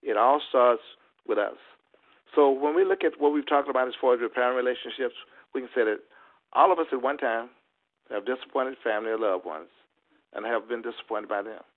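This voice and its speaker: A man giving a motivational talk in a preacher-like style, with emphatic, deliberate phrasing and repeated refrains. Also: mid-range voice. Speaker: motivational speaker. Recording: muffled lo-fi conference-call audio.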